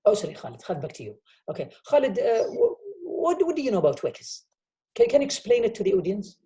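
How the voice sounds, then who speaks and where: A man's voice: high voice, huge pitch swings, fast talker, mellow. A man lecturing online, explaining step by step and checking in with "okay?" after nearly every phrase. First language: Arabic. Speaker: university professor. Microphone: video call.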